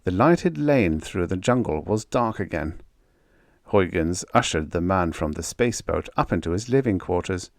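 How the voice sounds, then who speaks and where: Animated low-voiced man narrating. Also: normal speed, no echo, clean studio sound. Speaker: audiobook narrator. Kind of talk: scripted story narration.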